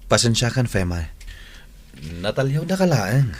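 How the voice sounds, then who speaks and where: A man's voice: mid-range voice, big pitch swings, unhurried. A man playing an earnest, put-upon office worker in a Filipino radio drama, with an Ilocano accent. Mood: defensive. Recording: noisy old radio recording.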